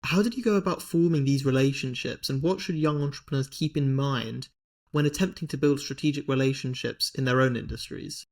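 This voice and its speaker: A man hosting a podcast, asking long, measured interview questions. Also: mid-range voice, a little mellow. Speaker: podcast host.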